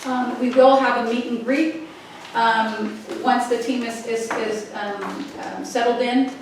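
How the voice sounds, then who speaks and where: A woman's voice: a little high, steady tone, slow pace. A woman giving an informal executive report to a board, hesitant and often restarting her phrases. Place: indoor board meeting room. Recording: very echoey room, distant mic, faint noise.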